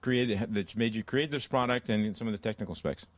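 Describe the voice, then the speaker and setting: A man's voice: lowish voice, a little fast. A middle-aged man acting as interviewer, putting questions at a product presentation into a handheld microphone. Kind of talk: trade show interview. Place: indoor trade show room.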